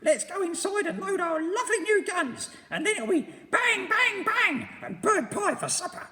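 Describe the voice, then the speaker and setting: A piercing, shrieking old wife with a nasty streak, her high falsetto squealing and swooping wildly. The voice rings loud and rings on in a long reverb. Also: sing-song, normal speed, heavily acted. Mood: nasty glee.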